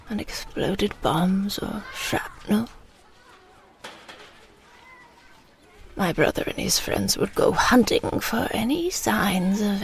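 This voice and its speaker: A woman playing a frail elderly dying mother in an audio drama, with a breathy, creaky voice, slowly and haltingly recalling her childhood. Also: medium pitch, exaggerated voice. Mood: sombre, reflective. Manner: slow, halting, reflective storytelling. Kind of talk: intimate audio drama monologue.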